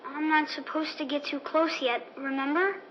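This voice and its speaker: A woman's voice: high-pitched, steady tone, moderate pace, slight background noise, lightly acted. A woman voicing a timid, trusting young boy in a radio drama. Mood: hesitant. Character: timid, trusting young boy, worried about his dad. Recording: dull old-radio sound, music behind.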